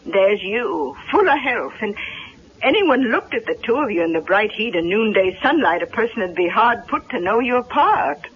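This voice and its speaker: An actor playing a gossipy household servant with a folksy, colloquial way of telling things, in a 1970s radio drama. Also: male voice, high voice, sing-song, normal speed. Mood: ominous, fond. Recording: noisy, dull vintage radio recording.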